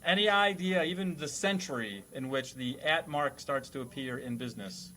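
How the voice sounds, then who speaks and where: A man's voice: medium pitch, normal speed, sharp tone, rough recording. A man hosting a casual comedic quiz as a teacher, explaining things in a nerdy, wordy, playful way. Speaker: quizmaster host playing a teacher.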